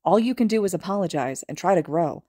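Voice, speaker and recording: A woman's voice: lowish voice, animated, quick pace, mellow, husky, no echo, studio quality. A woman reading as a blunt, loyal teenage boy. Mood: gentle. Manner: scolding, earnest.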